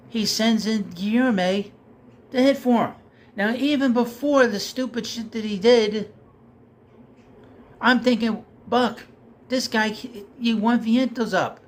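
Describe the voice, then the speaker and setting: A man ranting casually about his team, getting heated and losing his voice. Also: high voice. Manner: heated, rising and louder when frustrated. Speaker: sports commentator. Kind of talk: casual rant.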